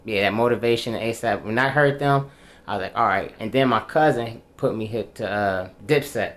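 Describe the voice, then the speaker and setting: A man's voice: on the low side, normal speed, fairly bright tone. A twenty-nine-year-old man from Dayton, Ohio, a rapper guest speaking in a thoughtful, easygoing way into a desk mic in a small studio. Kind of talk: casual interview. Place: small studio with backdrop, indoors. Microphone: desk mic on boom arm.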